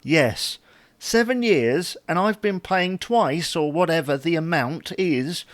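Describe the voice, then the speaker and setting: Man acting an exasperated husband, animated and swooping. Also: fairly high pitch, takes it slowly, dry recording. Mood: indignant. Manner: indignant, sarcastic bickering. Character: exasperated, put-upon husband in a domestic squabble. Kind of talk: comedy audio drama dialogue.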